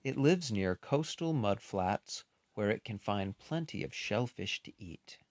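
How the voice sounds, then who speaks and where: A man reading a children's picture book aloud in an expressive, unhurried way, with casual, playful asides. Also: lowish voice, no room sound.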